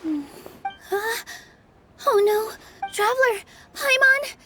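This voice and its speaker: A woman playing a gentle, earnest, hopeful young dancer in a video game, speaking softly and sincerely. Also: very high voice, expressive, slow, heavy background noise, full character voice. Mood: worried. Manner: soft-spoken, sincere, earnest. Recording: music behind the voice.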